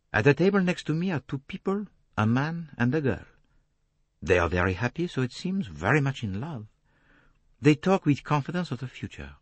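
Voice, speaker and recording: Guy doing a calm foreign gentleman detective for an audiobook, formal and deliberate, melodic swings, slightly husky. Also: fairly high pitch, dry recording, pitched above the performer's own voice. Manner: placid, detached, formal, deliberate phrasing.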